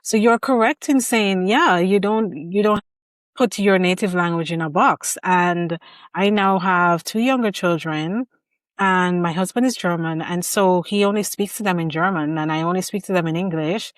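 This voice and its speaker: Woman host, lower register, thoughtful conversational delivery, close dry mic. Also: moderate pace, dry recording. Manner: reflective, shares personal parenting experience. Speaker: podcast host. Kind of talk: conversational interview.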